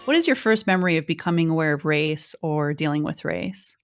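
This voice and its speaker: A woman's voice: deep voice, expressive, brisk, soft background music. A woman hosting a podcast, asking her guest brief, direct questions. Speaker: podcast host. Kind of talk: interview questions.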